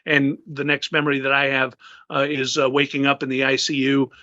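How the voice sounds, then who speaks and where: A man's voice: mid-range voice, little pitch movement, brisk, sharp tone, dry recording, dull audio. A man in his fifties, a retired naval officer, giving an interview and recounting events with many fillers and restarts. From United States. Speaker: guest.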